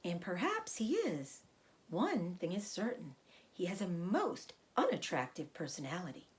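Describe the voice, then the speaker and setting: A woman voicing a wise, gentle female spider who speaks politely, measured and a little dry. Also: fairly low pitch, very animated.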